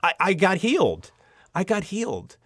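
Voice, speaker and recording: A man's voice: sits high, huge pitch swings, normal speed, fairly bright tone, no room sound, clean studio sound. A man speaking as an interview guest, sharing personal testimony casually and earnestly, with emphatic repetition and frequent restarted sentences.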